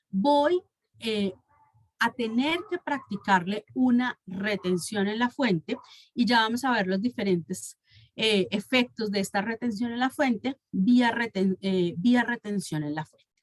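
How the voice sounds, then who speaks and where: A woman's voice: a little high, dry recording. Colombian woman presenting, dry close sound. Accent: Colombian Spanish. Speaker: tax and legal consultant presenting a webinar. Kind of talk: explanatory professional presentation.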